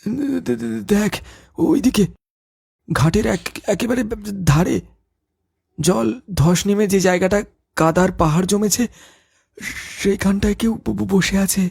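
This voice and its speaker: A man's voice: sits high, takes it slowly, vocal fry, long pauses, darkish tone, slightly rough, no echo, clean studio sound, exaggerated voice. A man voicing a frightened, superstitious young village man in a cartoon, stammering when scared. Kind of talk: cartoon voice acting.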